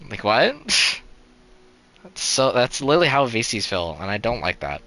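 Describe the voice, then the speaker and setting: A man's voice: mid-range voice, big pitch swings, normal speed, pushed and bright, faint music behind. A young man streaming a game live, chatting casually in a rambling, resigned way, full of "like" and "you know" and restarted sentences.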